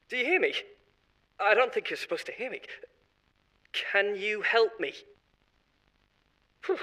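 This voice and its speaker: An actor playing an unsettling head of marketing in a horror audio drama, rambling and confiding with odd cheer. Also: male voice, fairly high pitch, bright, forceful tone, heavily acted, low-quality recording. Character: eerie corporate marketing head, adult man, unsettling.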